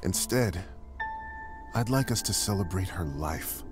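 A middle-aged uncle mourning his beloved niece in a game drama. The voice is very low, with measured, solemn oratory full of tender pride. Music plays behind the speech. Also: expressive. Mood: solemn, consoling. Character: grieving proud uncle giving a niece's eulogy.

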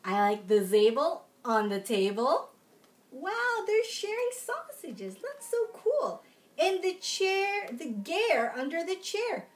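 A young adult woman reading aloud at a children's storytime, expressive and sing-song, with little asides to young listeners. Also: very high voice, takes it slowly. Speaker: children's storytime reader in princess costume. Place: small room at home, indoors.

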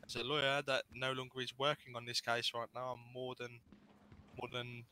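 A man's voice: mid-range voice, flat delivery, moderate pace, pushed and bright. A man playing a formal, defensive, argumentative lawyer in an online roleplay game. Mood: formal, insistent. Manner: formal, argumentative, addresses people by title. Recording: noisy online game voice chat.

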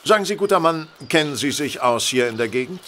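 A man playing a brisk, authoritative detective in an audio drama, speaking formally and deliberately. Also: fairly high pitch, lively intonation, fairly bright tone, slight background noise. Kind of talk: German audio drama acting.

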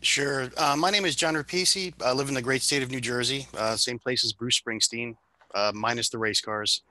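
A man's voice: medium pitch, steady tone, moderate pace, sharp tone. A man in his forties introducing himself on a panel, rambling and losing his words, speaking into a headset. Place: home office.

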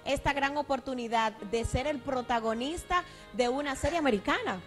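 A woman's voice: high voice, normal speed, faint music behind. A young woman co-hosting a TV interview, asking polite, formal questions into a handheld mic. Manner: polite, formal questions with long lead-ins. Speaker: co-host. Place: TV studio.

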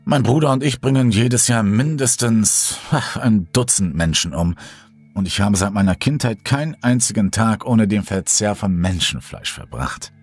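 A man's voice: fairly low pitch, lively intonation, moderate pace, slightly rough, faint music behind. A man voicing a teasing cannibal killer woman. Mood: cold. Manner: teasing, coolly condescending.

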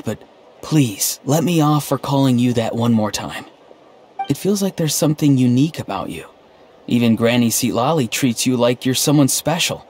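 An actor playing an earnest, odd, melancholy young man in a video game, speaking in a halting, stiffly sincere deadpan. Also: mid-range voice, a little hiss or hum, lightly acted. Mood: gloomy, pleading. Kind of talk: video game dialogue acting. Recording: game music underneath. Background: background game music.

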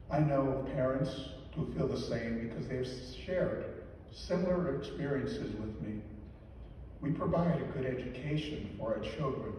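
An older man delivers a homily in a mellow, dark voice, earnest and deliberate. He is heard from far back in a large church, with long echoing reverb and faint room hiss behind him. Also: mid-range voice. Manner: measured, earnest, slow deliberate emphasis. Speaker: deacon or priest giving a homily. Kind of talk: weekday homily. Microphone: lectern mic, filmed from the back pews.

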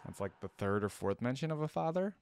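A man's voice: mid-range voice, very animated, fairly quick, a little mellow, dry recording, studio quality. A young man giving casual commentary as the host of a reaction video. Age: young adult. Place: indoors at a desk.

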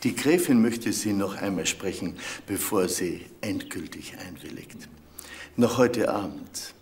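An actor playing a mercenary broker of noble titles in a German crime radio drama, formal and insinuating. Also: male voice, deep voice, lively intonation, a little slow, a little mellow. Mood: smooth, pressing.

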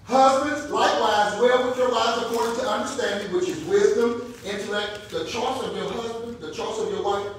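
A man's voice: extremely high pitch, moderate pace, few pauses, a little hiss or hum. A man in his fifties preaching a sermon as pastor, with call-and-response and rising emphasis. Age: 50s. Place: large church hall, indoors. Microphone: far camera. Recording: echoey hall, far from mic, congregation responding. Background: congregation calling out.

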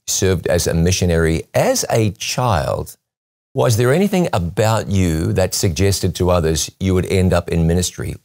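A middle-aged man with a low voice hosts a broadcast interview. He speaks as a studio interviewer, introducing and questioning a guest on a lapel mic. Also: a little mellow. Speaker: talk show host and interviewer. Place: television studio, seated at a desk.

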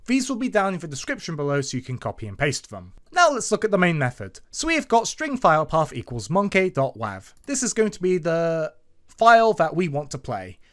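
Male presenter for an informal screencast lesson; highly animated intonation, emphatic sing-song explanations. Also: fairly quick. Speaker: tutorial host. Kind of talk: informal step-by-step screencast tutorial narration.

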